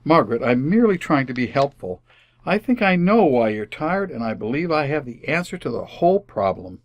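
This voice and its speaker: A man's voice: medium pitch, sing-song, brisk, no room sound, natural voice. A man playing an earnest, stubborn and easily flustered suburban father in an old-time radio family comedy. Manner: earnest, defensive.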